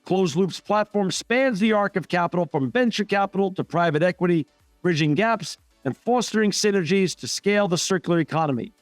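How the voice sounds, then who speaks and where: A man's voice: high-pitched, lively intonation, a little fast, no room sound, soft background music. Enthusiastic man hosting a podcast. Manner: enthusiastic, effusive. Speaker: podcast host. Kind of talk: podcast hosting and read ads.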